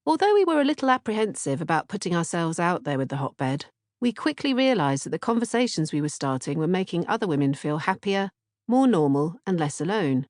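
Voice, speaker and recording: A woman's voice: lowish voice, very animated, a little fast, darkish tone, no echo, clean studio sound. A woman narrating an audiobook from a script. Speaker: author-narrator reading audiobook, podcast co-host.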